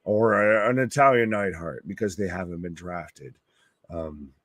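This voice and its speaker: Low gravelly guy rambling thru live wrestling commentary, dry close sound. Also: unhurried, fairly bright tone, no echo. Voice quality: rambling, stop-start delivery. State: gravelly, worn voice. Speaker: host of a wrestling live stream.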